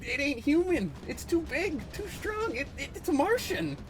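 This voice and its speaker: A man's voice: extremely high pitch, sing-song, moderate pace, over music. A man playing a jittery, easily alarmed bystander in a comic-book drama, stammering and exclaiming. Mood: terrified.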